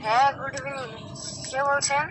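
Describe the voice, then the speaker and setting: Woman on a noisy phone call, high voice, suspicious, keeps asking questions. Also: flat delivery, moderate pace, a bit bright. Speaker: prank call target. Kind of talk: casual phone conversation.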